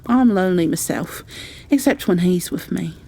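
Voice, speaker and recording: A woman's voice: fairly low pitch, lively intonation, moderate pace, warm tone, a little hiss or hum. A woman voicing a surly, blunt old moorman gardener with a Yorkshire dialect in a storybook reading. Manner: gruff, blunt dialect speech.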